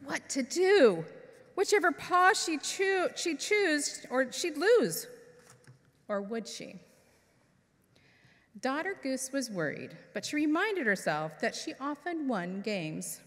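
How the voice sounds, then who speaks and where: A woman minister reading a children's story expressively, with dramatic pauses, in a large church hall. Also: high-pitched, big pitch swings, fairly bright tone. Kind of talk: expressive storytelling for all ages. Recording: echoey hall with long reverb.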